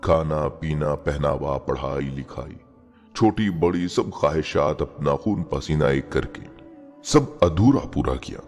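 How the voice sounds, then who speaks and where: Man voicing an emotional young woman, very deep tone. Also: animated, measured pace, darkish tone. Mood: guilty, anguished. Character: educated young woman, lovestruck, torn by guilt. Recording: long reverb, music bed behind.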